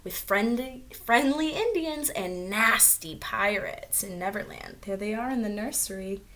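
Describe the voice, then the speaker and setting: A woman's voice: medium pitch, expressive, measured pace, pushed and bright, slight background noise. A woman reading a storybook aloud to young children, expressively, with little asides about the pictures. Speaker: storybook reader.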